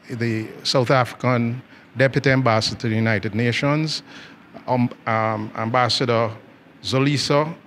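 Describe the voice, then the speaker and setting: A man hosting, halting as he introduces a diplomat guest. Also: mid-range voice, a bit bright, a little hiss or hum. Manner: halting, with fillers. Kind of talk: on-location interview intro. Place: conference venue.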